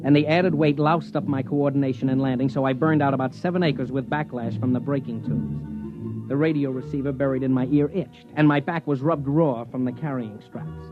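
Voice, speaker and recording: A man's voice: medium pitch, steady tone, moderate pace, music in the background, natural voice. A man playing a put-upon, grumbling space explorer, wry and plain-spoken, in 1950s radio drama style. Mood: wry narrating. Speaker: narrator and lead character. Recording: dull vintage radio recording.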